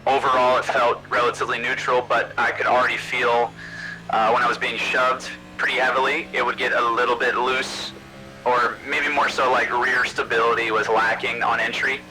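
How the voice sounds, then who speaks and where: A man playing a calm, analytical stock car driver on simulated team radio, giving measured, slightly hesitant technical feedback through a radio filter. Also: medium pitch, low-quality recording.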